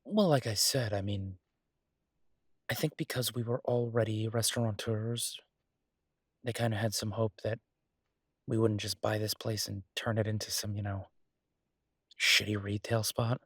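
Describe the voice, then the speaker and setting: A man playing an easygoing, earnest chef boyfriend in an intimate roleplay audio drama, talking casually and haltingly with a husky, reassuring voice. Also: lowish voice, fairly even intonation, fairly bright tone, no echo, clean studio sound. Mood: earnest, persuasive.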